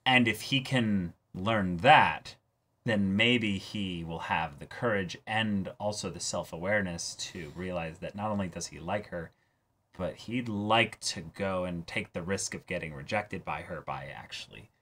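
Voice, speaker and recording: Casting: male reaction show co-host, bright and forceful, rambling animated conversational delivery. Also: deep voice, unhurried. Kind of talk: casual anime discussion chat.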